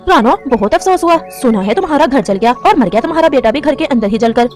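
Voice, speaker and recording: A high-voiced mother crow, acted by a woman, vengeful and fiercely protective. She talks fast in melodramatic cartoon style, over soft music. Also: expressive. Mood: feigning, defensive. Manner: sharp, defensive, doting toward her child. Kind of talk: melodramatic Hindi cartoon story acting.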